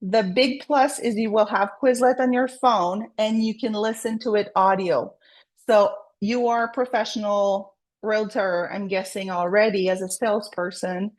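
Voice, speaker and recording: A chatty real estate agent walks viewers through her study routine. Her voice sits at an ordinary middle pitch, and she talks in an encouraging, loose way, often restarting her sentences. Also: poor audio. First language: French. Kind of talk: casual tutorial vlog.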